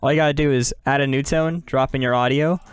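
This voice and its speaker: A man narrating a software tutorial in a casual, instructional way as its host. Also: medium pitch, fairly even intonation, fast talker, clean tone, dry recording.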